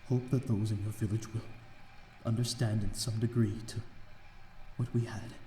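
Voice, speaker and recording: A man playing a lonely, remorseful vampire in an ASMR audio roleplay, with halting, stammering, formal old-fashioned speech. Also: fairly low pitch, little pitch movement, dark tone, roomy sound, natural voice. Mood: bittersweet, resigned. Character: lonely, remorseful male vampire of a desolate manor.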